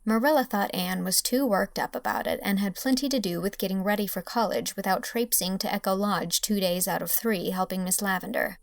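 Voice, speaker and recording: A woman's voice: mid-range voice, brisk, vocal fry, hardly any pauses, no room sound. A woman narrating a novel as a scripted audiobook.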